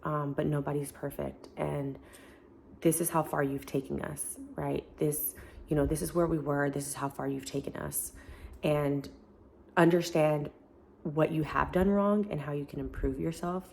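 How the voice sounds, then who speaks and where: A woman with a deep voice talking casually to camera in an earnest, encouraging way, with many fillers. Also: fairly even intonation, moderate pace, creaky, a little mellow, a little hiss or hum. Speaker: self-help vlogger. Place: family home, indoors.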